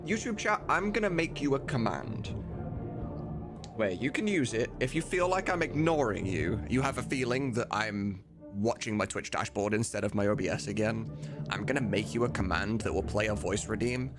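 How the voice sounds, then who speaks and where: A man's voice: fairly low pitch, animated, moderate pace. A man hosting a casual livestream, talking informally and swearing now and then as he drifts between work and chat. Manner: informal, sweary, easily sidetracked. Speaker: livestream host and voice mod editor. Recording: music behind, computer typing. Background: music and keyboard clicks.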